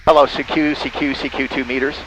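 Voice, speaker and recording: A man's voice: mid-range voice, level tone, a little fast, a bit bright, poor audio. A man narrating a flight casually and making amateur radio calls as a pilot from a small airplane cockpit. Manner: spells out callsigns in phonetic alphabet. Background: faint vehicle engine noise.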